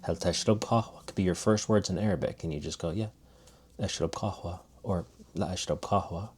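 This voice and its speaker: A man's voice: deep voice, brisk, darkish tone, husky. A man giving a loose, casual spoken language lesson, often restarting his sentences. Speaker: language teacher.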